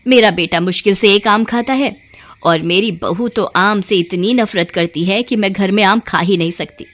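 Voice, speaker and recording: A woman's voice: mid-range voice, normal speed, a bit bright, close to an everyday voice. A woman voicing an exasperated middle-aged mother-in-law, grumbling and long-suffering, in a cartoon story. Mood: complaining.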